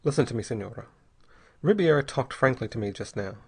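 A man playing a cold, quiet adventurer. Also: medium pitch, expressive, brisk, husky. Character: grim adventurer hero, cold and restrained.

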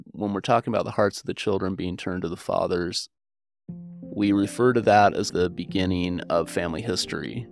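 A man's voice: deep voice, vocal fry. A man speaking as a historian guest, explaining religious history in a conversational way.

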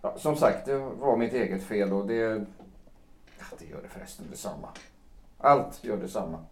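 A low-voiced, brooding ageing writer. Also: male voice, lively intonation, vocal fry, slight background noise. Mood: dejected, evasive. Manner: halting, brooding delivery with repeated words. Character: melancholy, self-pitying celebrated male writer, aged fifty. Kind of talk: stage-play audio drama acting. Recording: roomy, echoing sound.

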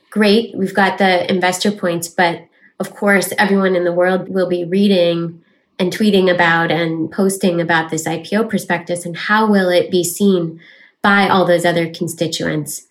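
A woman's voice: on the low side, steady tone, normal speed, poor audio. A woman who advises companies, speaking in a professional panel discussion.

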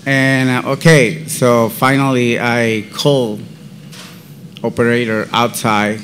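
A man with a Central American Spanish accent telling his own faith story as a podcast guest, in halting, non-native English. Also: medium pitch, level tone, fairly bright tone, slight background noise. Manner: slow, deliberate. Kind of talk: personal faith testimony storytelling.

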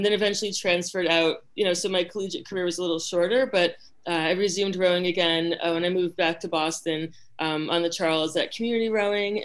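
Chatty rowing coach, woman, lower voice. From Western Massachusetts. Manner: relaxed storytelling with frequent fillers. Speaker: rowing coach and club board member. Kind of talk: casual interview chat.

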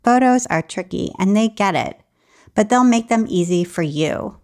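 A woman's voice: medium pitch, expressive, moderate pace, darkish tone. Upbeat woman hosting a podcast. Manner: friendly, upbeat, laughs often. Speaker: podcast host. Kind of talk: podcast interview and read ad.

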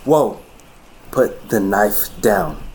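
A man's voice: lowish voice, drawn-out words, darkish tone, a little hiss or hum. An actor playing an earnest, lovelorn young man in an audio drama, with a pleading manner. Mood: startled, defiant. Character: earnest, lovelorn young man rebelling against his mother.

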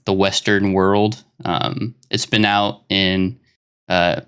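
A man, hosting a casual gaming podcast in an earnest, steady way with the odd filler pause. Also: low-pitched, smooth. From Arkansas, United States. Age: 20s. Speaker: podcast host.

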